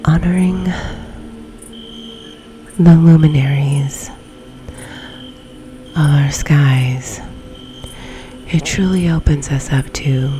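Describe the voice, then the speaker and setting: Woman doing asmr guided meditation, low voice, soft and half whispering, really slow, music clearly playing behind her. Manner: soft, breathy, often near-whispered delivery; unhurried with long pauses between phrases. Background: background music.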